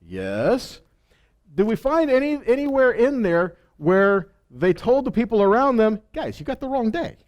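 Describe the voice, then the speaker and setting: Male Bible teacher, high register, highly varied intonation, emphatic rhetorical questions and repeated phrases. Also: big pitch swings, unhurried. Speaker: preacher teaching scripture. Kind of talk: teaching sermon with rhetorical questions and scripture reading.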